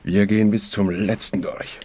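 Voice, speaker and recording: A man's voice: on the low side, level tone, normal speed, a little mellow, husky. An actor playing a pompous, lecherous fascist druggist in a radio play, declaiming in slogans as he courts a young woman. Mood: fanatical.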